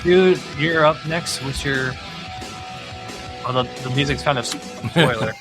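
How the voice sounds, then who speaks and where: A man's voice: mid-range voice, takes it slowly. A man hosting a podcast and chatting casually about music. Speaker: podcast host. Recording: music playing behind the voice. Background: clear music.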